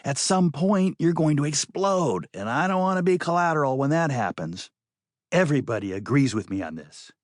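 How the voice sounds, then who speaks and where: A man's voice: a little high, big pitch swings, normal speed, dry recording, clean studio sound, voice pushed up. A man voicing a bossy, scolding woman in an audiobook, lecturing emphatically like an exasperated ex-girlfriend. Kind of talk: audiobook character voice.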